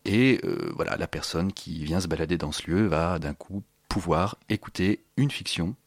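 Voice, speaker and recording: Low-voiced Frenchman explaining his work. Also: normal speed, slightly rough, no echo. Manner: hesitant, searching phrasing with repeated words. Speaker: interview guest, sound installation creator. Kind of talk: spontaneous interview explanation.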